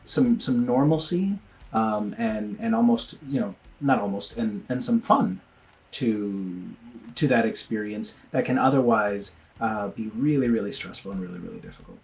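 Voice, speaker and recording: A man's voice: on the low side, moderate pace, rough recording. A man from Venezuela who is a pediatrician, answering interview questions earnestly and thinking aloud, often restarting his sentences. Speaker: pediatrician and guest.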